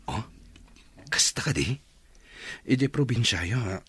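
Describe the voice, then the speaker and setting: A man playing a smooth-talking suitor in an Ilocano radio drama, with an Ilocano accent. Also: fairly low pitch, expressive, slow, fairly bright tone, dry recording, exaggerated voice. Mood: flirtatious.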